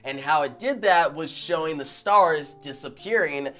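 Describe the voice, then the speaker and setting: A man's voice: a little high, unhurried, a bit bright, faint music behind. A man giving casual, slangy reaction commentary as a video host, exclaiming with emphasis.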